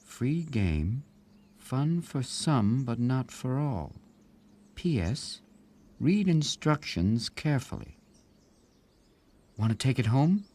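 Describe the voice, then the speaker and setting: A man voicing an eager, bossy young girl in a storybook, bright and insistent in manner. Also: medium pitch, very animated, slow, long pauses, pitched above the performer's own voice. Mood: curious.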